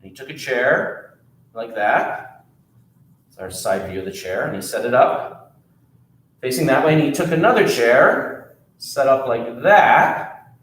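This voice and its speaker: A man telling a story at a children's storytime, animated, adding sound effects and asking the children questions. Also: mid-range voice, measured pace, pauses often, reverberant, poor audio. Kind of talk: children's storytime read-aloud.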